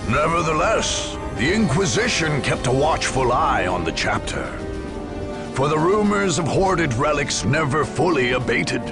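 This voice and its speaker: An actor playing a solemn chronicler, narrating a war's history for a video game in a grave, measured way. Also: male voice, mid-range voice, creaky. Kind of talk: scripted dramatic game narration. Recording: music behind.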